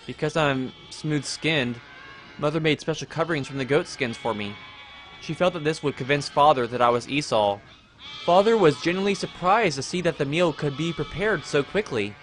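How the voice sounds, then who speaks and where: Guy playing a mild mamas boy, bit high voice, explaining himself, music and sheep behind. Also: normal speed, natural voice. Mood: earnest, meek. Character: meek, smooth-skinned younger twin, mother's boy. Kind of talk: audio drama interview testimony.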